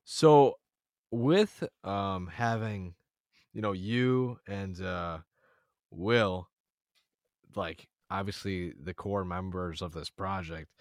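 Young dude interviewer, low voice, swears, stumbles thru questions, dry sound. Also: male voice, no echo. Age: 20s. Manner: loose and swearing, halting questions. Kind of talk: casual interview chat.

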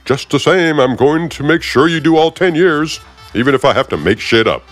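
Man putting on a bombastic agent voice, grating, drawn-out, high, soft music behind. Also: lively intonation. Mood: smug. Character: smug, aggravating male federal agent, bombastic.

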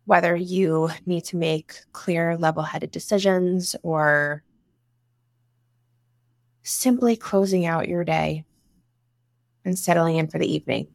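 Female meditation guide, very slow delivery, some vocal fry, soothing and instructive, close dry sound. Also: lowish voice, fairly even intonation, no echo. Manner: soothing, instructive, with long pauses between short phrases. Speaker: meditation guide, breathwork teacher.

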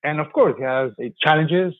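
A man's voice: moderate pace, no room sound. A man originally from Colombia talks through his business at an easy, explanatory pace. His voice comes over a thin, phone-like line with a dry, close sound and no room to it. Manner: explanatory, pitching his company. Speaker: podcast host. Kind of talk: conversational interview.

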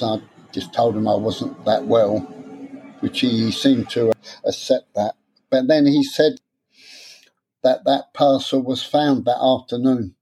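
A man with a stammer speaks softly in a personal interview. His voice is mellow and dark, and he pauses and repeats words. He sits at an even, middling pitch. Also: slightly rough, rough recording. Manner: halting, repeats words, soft-spoken, candid.

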